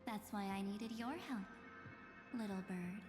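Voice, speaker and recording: A woman's voice: medium pitch, fairly even intonation, measured pace, close to an everyday voice. A woman playing an obsessed, scheming villainess in a video game, speaking with theatrical condescension and menacing endearments. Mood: silky, possessive. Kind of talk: scripted video game voice acting. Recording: echoey long reverb with music behind.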